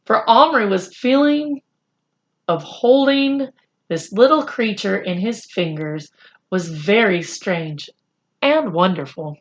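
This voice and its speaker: A woman reading a children's chapter book aloud in a deliberate, expressive storytelling style. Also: medium pitch, highs cut off. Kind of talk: read-aloud storytelling narration.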